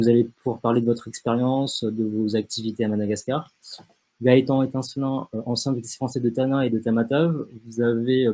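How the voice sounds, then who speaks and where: A young man hosting and moderating an online panel, introducing the guests in a halting way with frequent fillers. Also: medium pitch, dark tone, poor audio. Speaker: host, moderator.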